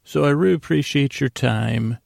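A man with a heavily gravelly, creaky voice at an ordinary pitch, recorded close and dry. He hosts a sleep podcast, wandering through whimsical asides and often breaking off to restart his thought. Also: no room sound. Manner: meandering, halting, self-interrupting, whimsical. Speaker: podcast host. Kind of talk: rambling bedtime sleep podcast monologue.

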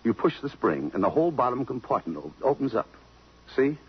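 An actor playing a hard-boiled, plain-spoken police detective in a 1950s radio drama. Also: male voice, medium pitch, sing-song, brisk, husky. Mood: probing, matter-of-fact. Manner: plain-spoken, slangy, matter-of-fact. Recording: muffled 1950s radio broadcast.

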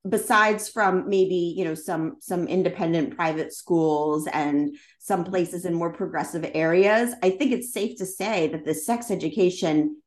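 A woman's voice: fairly low pitch, a little slow, few pauses. A woman from the United States hosting a podcast interview, speaking in an enthusiastic, chatty way. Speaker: podcast host and parent.

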